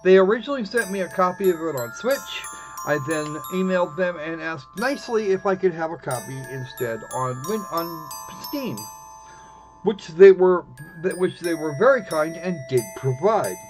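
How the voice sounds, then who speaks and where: A man hosting a live game stream with casual commentary, speaking haltingly and often restarting his phrases. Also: high voice, expressive, over music. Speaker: live stream host playing games.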